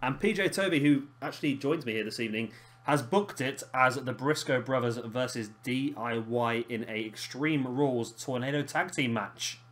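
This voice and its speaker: Hoarse man commentating a game. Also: mid-range voice, moderate pace, fairly bright tone. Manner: chatty, laughing, swears casually. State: hoarse, lost his voice. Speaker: host of a gaming channel.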